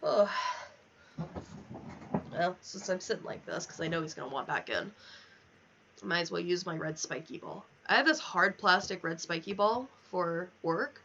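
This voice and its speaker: A woman's voice: on the low side, lively intonation, moderate pace, vocal fry, long pauses, pushed and bright, far from the mic, highs cut off, a little hiss or hum. A woman livestreaming a game with casual, candid chat, swearing freely in a wry way and reading bits of text aloud. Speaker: livestream host. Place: home office.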